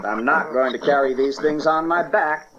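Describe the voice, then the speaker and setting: An actor playing a brash, bossy but good-humored Roman soldier in an audio Bible drama, gruff and blustering. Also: male voice, on the high side, moderate pace, natural voice. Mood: impatient, commanding. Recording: dull, slightly noisy cassette tape.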